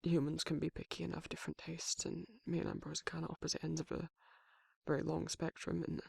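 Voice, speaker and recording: A performer voicing a tender, protective male werewolf lover in a soft, breathy, often whispered romantic ASMR roleplay. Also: medium pitch, completely flat delivery, fast talker, no room sound. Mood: shy, hesitant. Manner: soft, hesitant, affectionate murmuring.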